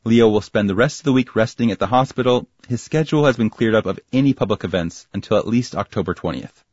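Lowish male news reporter. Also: fast talker, darkish tone, no echo, muffled recording.

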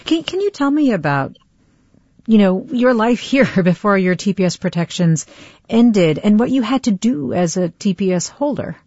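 A woman hosting a public radio call-in show, speaking in a measured, clear way. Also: mid-range voice, expressive, mellow, dry recording, muffled recording.

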